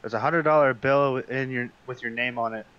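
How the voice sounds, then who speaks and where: A man's voice: mid-range voice, steady tone, brisk. Man roleplaying on a dull lo-fi line. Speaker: player in a tabletop roleplaying game. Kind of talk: casual improvised tabletop roleplay. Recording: dull, lo-fi voice call.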